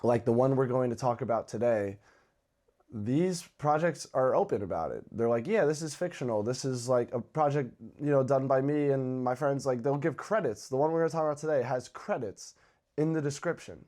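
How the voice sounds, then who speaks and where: A man's voice: medium pitch, moderate pace, a little mellow. A man speaking as the host of a horror video channel, giving casual commentary in a conversational, informal way. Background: background music with guitar.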